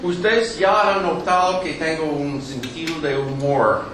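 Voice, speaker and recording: A middle-aged New Yorker, a native English speaker with a strong American English accent, giving a lecture in a hall, joking often and pausing on fillers. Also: male voice, on the high side, steady tone, fairly bright tone. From New York, United States. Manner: halting, many fillers, joking asides. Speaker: guest lecturer. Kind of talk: public lecture with jokes. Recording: echoey hall, distant mic, background noise.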